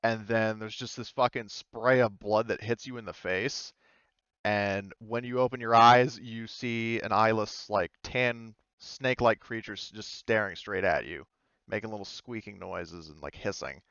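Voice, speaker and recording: Male game master, smooth mid voice, casual halting narration, dry close recording. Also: lowish voice, a bit bright, dry recording, highs cut off. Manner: halting, conversational, mild swearing.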